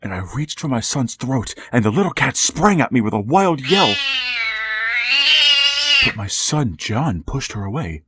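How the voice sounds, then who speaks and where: An actor playing an aging father in a wheelchair, narrating a radio horror drama in a slow, halting, hushed manner. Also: mid-range voice, big pitch swings, husky, full character voice. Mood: haunted. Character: older man father in a wheelchair, haunted, resigned narrator. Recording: music bed under the voice.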